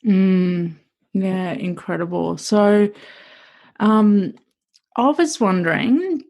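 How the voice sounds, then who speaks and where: A woman's voice: mid-range voice, very slow, a little mellow. A woman from Australia hosting a podcast interview, speaking in a thoughtful, affirming way. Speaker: podcast host.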